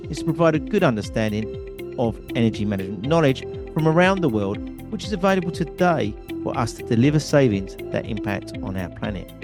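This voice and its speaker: A man hosting an interview podcast, introducing and questioning in a presenter's style. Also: medium pitch. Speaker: podcast host and founder.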